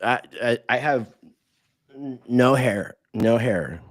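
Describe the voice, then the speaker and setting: A man appearing as a guest on a podcast, chatting in a relaxed, joking manner. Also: medium pitch, slow pace, no room sound. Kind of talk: casual chat.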